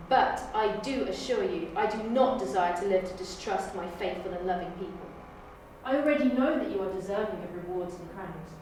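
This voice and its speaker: A woman's voice: mid-range voice, darkish tone, close to an everyday voice. A woman auditioning as an earnest, formal Time Lord's daughter in a dramatic performance. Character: proud, anxious daughter of an alien Time Lord. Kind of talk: audition improv and dramatic monologue. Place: university room. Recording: echoey room, distant mic, faint noise.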